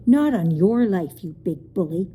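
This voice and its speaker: A fierce, emotional young boy in a children's tale, played by a woman reading aloud. He speaks with indignant intensity, his mellow voice rising and falling widely. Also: fairly low pitch, lively intonation, a little slow, husky, heavily acted. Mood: fierce whisper. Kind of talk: children's storybook character reading.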